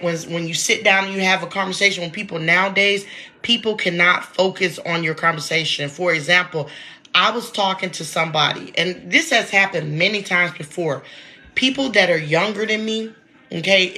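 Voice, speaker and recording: A woman's voice: on the low side, sharp tone. A woman in her late thirties, congested from allergies, chatting casually to her viewers in a vlog and often calling out to them directly. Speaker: vlogger. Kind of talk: casual talk to camera.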